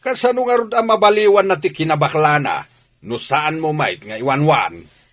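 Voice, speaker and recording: Casting: a harsh, contemptuous father for radio drama, gruff scolder, slightly high-pitched with big swoops. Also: expressive, normal speed, fairly bright tone. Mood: angry scolding. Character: angry, harsh father scornful of his effeminate son.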